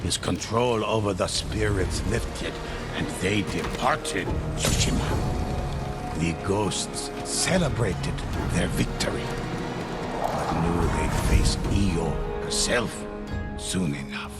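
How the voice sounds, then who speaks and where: A man narrating as a grave storyteller of samurai ghost legends in a video game, measured and dramatic. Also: sits low. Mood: solemn. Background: loud cinematic music.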